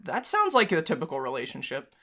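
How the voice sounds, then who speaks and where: A man's voice: on the high side, huge pitch swings, brisk, bright, forceful tone. A man co-hosting a game playthrough with playful, quipping commentary. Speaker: gaming co-host.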